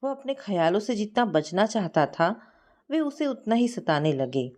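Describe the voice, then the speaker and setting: Woman narrator for sentimental fiction: mid-range voice, expressive delivery with plenty of melodic movement. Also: medium pitch, normal speed. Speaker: storyteller. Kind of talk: scripted story narration.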